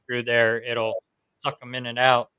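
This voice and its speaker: A man's voice: mid-range voice, monotone, measured pace, pushed and bright, clean tone, highs cut off. A man from New York hosting a podcast, telling stories from investigations in a casual interview chat. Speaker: podcast host.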